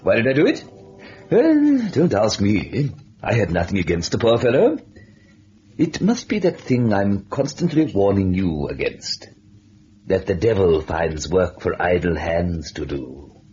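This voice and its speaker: An actor playing a gleeful, mocking devil who narrates an old-time radio horror tale in a theatrical, sardonic way. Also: male voice, sits low, very animated, a little slow, mellow, slightly rough, full character voice. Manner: theatrical, sardonic, chatty with the listener. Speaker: host and storyteller. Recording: dull lo-fi old radio recording, music behind.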